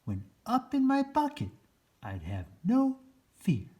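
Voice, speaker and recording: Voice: mid-range voice, wildly swooping pitch, slow, dark tone. A storyteller reading a children's picture book aloud in a theatrical, playful way.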